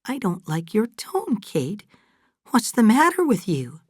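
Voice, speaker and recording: A woman's voice: medium pitch, big pitch swings, unhurried, dry recording, studio quality, voice pushed up. A woman voicing an emotional, doting older mother in a storybook, gushing and insistent as she talks. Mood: indignant, upset. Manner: gushing, exclamatory. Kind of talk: dramatised audiobook dialogue.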